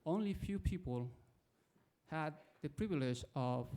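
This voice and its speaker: A man from Ecuador, an audience member who introduces himself formally and tells a story in a measured way before his question. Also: on the high side, animated, mellow.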